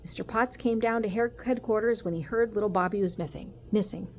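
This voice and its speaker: A woman voicing a calm, serious police chief father in a storybook, measured and gentle. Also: medium pitch, lightly acted. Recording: noticeable background noise.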